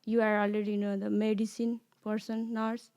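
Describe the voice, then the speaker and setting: A woman hosting an informal interview, with halting phrasing. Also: medium pitch, completely flat delivery, a crowd in the background. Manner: halting non-native phrasing.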